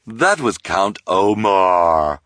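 A man voicing an eager, gum-chewing newspaper reporter woman who gushes in slow, drawn-out lines. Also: deep voice, sing-song, fairly bright tone, no room sound, clean studio sound, heavily acted. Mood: gushing. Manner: gushing, drawn-out.

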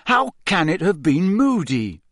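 Man playing a shocked teenage boy wizard, higher-pitched. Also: sing-song, a little slow, fairly bright tone, no echo, studio quality, exaggerated voice. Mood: disbelieving.

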